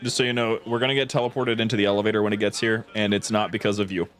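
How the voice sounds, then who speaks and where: A man's voice: fairly low pitch, flat delivery, rapid-fire, a bit bright. A man hosting a gameplay stream, chatting casually and jokily with mild exclamations, with music behind him. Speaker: stream host playing a modded shooter game.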